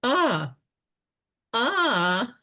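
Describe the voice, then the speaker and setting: A vague, genial old earl in a radio comedy. He speaks extremely slowly in lively, wandering tones, repeating himself as he drifts from one thought to another. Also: a little high, exaggerated voice. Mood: vaguely amazed. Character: doddering, absent-minded, genial old English earl. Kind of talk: radio play acting.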